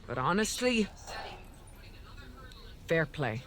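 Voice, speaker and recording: A woman's voice: sits low, big pitch swings, extremely slow, a bit bright, slight background noise, exaggerated voice. A woman playing a confident, frank wrestling star in a scripted video game cutscene. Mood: frank, approving. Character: confident, frank female champion wrestler, respectful rival.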